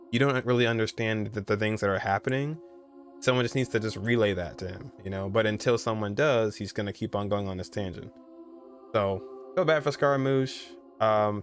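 Low-voiced young American man, casual. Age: 20s. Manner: conversational, repeats "you know" and "like". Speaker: gaming streamer. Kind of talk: casual reaction commentary. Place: indoor room, streaming setup.

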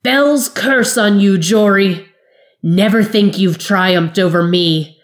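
A woman's voice: medium pitch, slow pace, voice pushed up, close to an everyday voice. A woman voicing a vengeful male wizard whose voice quavers with fury. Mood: furious.

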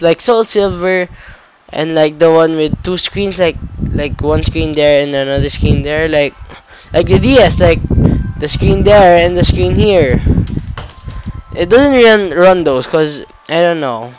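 A man's voice: a little high, slow, noisy background. A teenage boy with a cold who rambles and corrects himself while explaining steps on a computer, swearing casually in a tutorial. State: has a cold. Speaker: tutorial maker. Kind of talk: casual screen-recorded tutorial. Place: indoor room at a computer.

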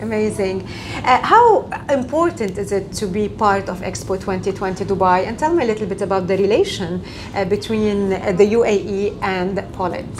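A woman's voice: medium pitch, moderate pace, noisy background. A woman in her thirties, a radio interviewer asking questions with enthusiasm, recorded in an office by a camera at a distance. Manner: enthusiastic, repeats "amazing". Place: office, indoors.

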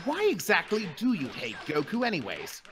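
A man's voice: high-pitched, wildly swooping pitch, moderate pace. A man voicing a haughty, godlike male villain who sneers at mortals, refined and scornful, in fan gameplay voice acting. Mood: incredulous.

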